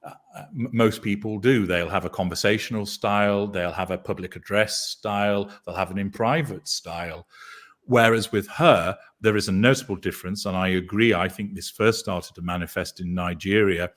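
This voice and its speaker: A man with a deep baritone voice, talking as a guest in a measured, deliberate way with dry dark humor. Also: steady tone. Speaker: guest commentator. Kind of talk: casual chat.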